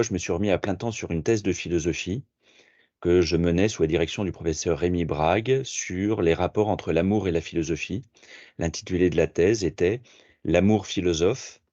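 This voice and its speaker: Very low-voiced man, measured and hesitant. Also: a little mellow, no room sound, highs cut off. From France. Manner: measured, reflective, with frequent hesitations. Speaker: podcast guest, philosopher and management consultant. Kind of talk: interview answers.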